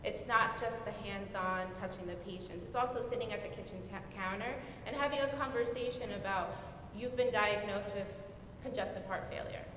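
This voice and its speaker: A woman explaining her work as a nurse on a discussion panel. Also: moderate pace. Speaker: panelist, former public health nurse. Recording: very echoey hall, rumbling background noise.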